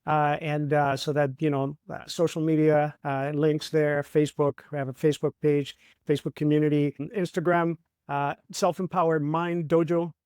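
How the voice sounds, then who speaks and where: A man's voice: a little high, flat delivery, moderate pace, a little mellow, smooth, no room sound, studio quality. A middle-aged man from Chile, a martial artist and trainer, explaining ideas in a reflective way and often asking "right?". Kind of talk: conversational interview.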